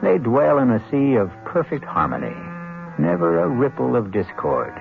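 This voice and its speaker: A man narrating as host of a 1970s radio mystery series, dramatic, wry and deliberate. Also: medium pitch, huge pitch swings, gravelly, over music. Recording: muffled old radio broadcast.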